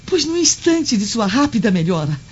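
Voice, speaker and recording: A devout Roman wife and mother in a radio drama. She speaks in a fairly high, earnest, pleading voice with a Brazilian accent, on a slightly dull recording. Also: sing-song, moderate pace, breathy edge, exaggerated voice. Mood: pleading, fervent.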